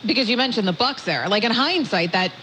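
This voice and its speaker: A woman's voice: on the high side, animated, a little fast, fairly bright tone. A woman in an unscripted sports discussion, heard through a radio speaker. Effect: played through a radio speaker. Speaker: sports talk commentator. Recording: noisy shortwave radio playback.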